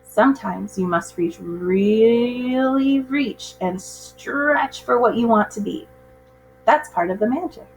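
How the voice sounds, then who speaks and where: A woman's voice: on the high side, slow pace, soft background music, voice pushed up, poor audio. Woman reading as an encouraging storybook magician. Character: encouraging adult male stage magician in a storybook.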